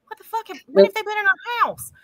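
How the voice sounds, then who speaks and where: A woman chatting casually with friends on a video call, blunt and joking, quick to swear. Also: very high voice, animated, vocal fry, fairly bright tone, no room sound. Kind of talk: casual group chat on a live stream.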